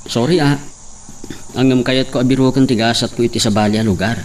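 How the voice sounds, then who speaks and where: A man's voice: medium pitch, steady tone, a little fast, a little mellow, close to an everyday voice. A man with an Ilocano accent playing an earnest young villager set on leaving for the city. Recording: distant mic with faint noise. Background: crickets and insects.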